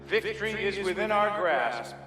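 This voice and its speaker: Male game villain-orator, raised high voice, measured and grand, booming through long reverb. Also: animated, pushed and bright. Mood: rousing, resolute. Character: commanding male leader rallying a city's citizens. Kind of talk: propaganda speech. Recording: echoey hall with long reverb, music.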